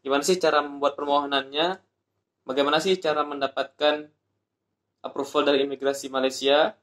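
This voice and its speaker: Indonesian man explaining a procedure, slightly high. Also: little pitch movement, a bit bright, echoey room. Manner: explains step by step, spells out addresses slowly. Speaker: vlogger. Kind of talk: informational vlog explaining a procedure.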